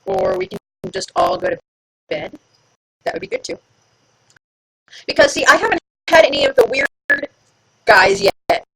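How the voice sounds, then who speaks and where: A woman chats live with her viewers from home in a playful, self-mocking way. Her voice swoops widely and sounds bright and punchy, with a gravelly fry underneath. The sound is dry and close. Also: sits high, huge pitch swings, a little slow, pauses often, low-quality recording. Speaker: livestream host. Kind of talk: casual live chat with viewers.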